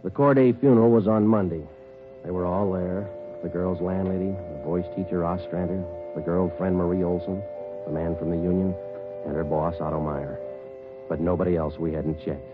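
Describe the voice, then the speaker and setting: A man's voice: deep voice, steady tone, moderate pace, close to an everyday voice. An actor playing a terse male police sergeant narrating a case in a clipped, matter-of-fact way, in a 1940s radio drama. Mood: flat, businesslike. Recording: tinny 1949 radio broadcast with music.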